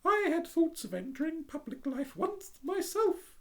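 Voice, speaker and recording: A storyteller voicing a chatty, peaceful female duck in a very high, sing-song squeak. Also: sing-song, a little slow, a bit bright, heavily acted. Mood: placid. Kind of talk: storybook reading.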